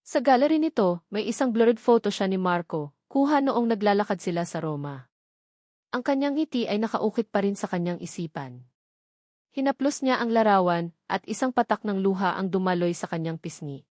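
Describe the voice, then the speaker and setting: A woman's voice: mid-range voice, animated, moderate pace, darkish tone, no echo, studio quality. A woman reading a scripted story as its narrator.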